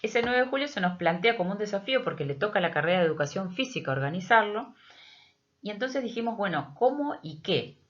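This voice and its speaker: A middle-aged woman with an Argentine accent gives an explanatory presentation as a teacher. Her voice sits at a medium pitch, and she proceeds carefully, with many hesitations. The recording is dull and lo-fi. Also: animated, brisk. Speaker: teacher presenting an educational project. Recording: dull, lo-fi audio.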